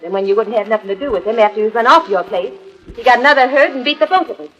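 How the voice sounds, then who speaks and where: A woman's voice: a little high, rapid-fire, fairly bright tone, lo-fi sound, natural voice. An American actress playing a determined young cowgirl trail boss in a 1940s radio western, firm and folksy.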